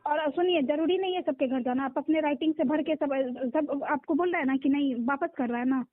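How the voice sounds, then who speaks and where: A woman's voice: high-pitched, a little fast, no echo. A woman official with a Bihari accent, insistent and directive, speaking on a recorded phone call. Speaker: block-level government official. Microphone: phone line.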